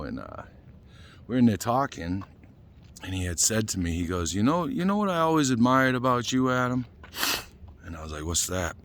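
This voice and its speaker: Deep-voiced Boston man vlogging casually. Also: slight background noise. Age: 50s. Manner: rambling, frequent "you know" fillers. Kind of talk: casual talking-head vlog.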